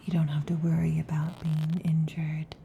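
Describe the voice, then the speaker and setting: A woman voicing a possessive, overheated female lamia in an ASMR roleplay, whispering softly and teasingly into a close mic. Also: low-pitched, monotone, moderate pace, creaky, a little hiss or hum. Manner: soft intimate whispering, teasing. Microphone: close sensitive mic.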